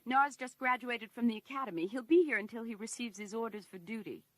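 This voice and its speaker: A woman's voice: a little high, brisk, a bit bright, no room sound, close to an everyday voice. A woman playing an earnest, polite secretary to an Emperor in a science fiction audio drama, speaking confidingly. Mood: reassuring.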